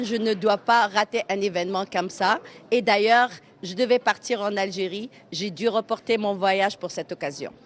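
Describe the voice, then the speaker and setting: A woman giving a spontaneous interview as a participant at a community event. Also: mid-range voice.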